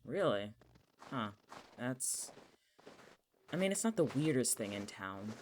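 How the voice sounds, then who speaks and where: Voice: mid-range voice, sing-song, slow pace, slight background noise. An actor as a blunt, exasperated young townie. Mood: wry, casual. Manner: casual, sardonic, blunt. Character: exasperated, protective young person who knows the town's dangers. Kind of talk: naturalistic audio drama acting.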